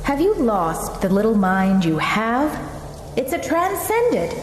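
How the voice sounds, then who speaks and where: Sharp-tongued warrior woman, echoing. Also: a little high, sing-song, slow, a little hiss or hum. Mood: scornful. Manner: scolding, cutting, threatening. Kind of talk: video game cutscene acting. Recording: echoey hall reverb with music behind.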